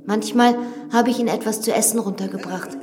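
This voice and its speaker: An actress playing a composed, matter-of-fact widow of an old manor in a German radio drama, speaking in a measured, guiding way. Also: female voice, on the high side, fairly even intonation, crowd noise, lightly acted. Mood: wistful. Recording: echoey reverberant vault.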